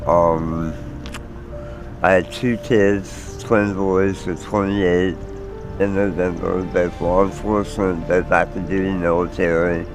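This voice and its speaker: An American man in his forties giving halting, candid personal testimony in a street interview. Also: low-pitched, steady tone, over music, rough recording. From Maryland, United States. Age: 40s. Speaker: homeless interviewee.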